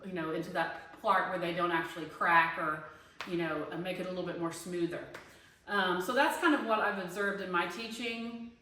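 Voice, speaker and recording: Bright-voiced woman in her fifties, echoey room. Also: fairly low pitch, distant mic. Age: 50s. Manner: conversational, lots of fillers and restarts, thinking aloud. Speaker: online voice pedagogy student and singing teacher. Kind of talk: casual spoken class discussion post. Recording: very echoey room, away from the mic.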